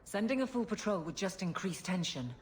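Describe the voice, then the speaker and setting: A woman's voice: on the low side, very animated, brisk, a little hiss or hum. An actress playing an earnest, worried female guard captain.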